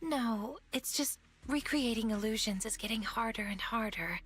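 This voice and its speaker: A woman's voice: fairly high pitch, moderate pace, fairly bright tone, lightly acted. A woman voicing a composed, polite and resolute young warrior in a game story, speaking rather formally. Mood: wistful, determined. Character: composed, courteous young female warrior with illusion powers. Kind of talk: voiced game story dialogue.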